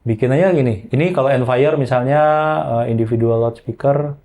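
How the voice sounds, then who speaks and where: A man explaining software in an informal, hands-on tutorial, using colloquial tags as he goes. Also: mid-range voice, fairly quick. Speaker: audio software trainer.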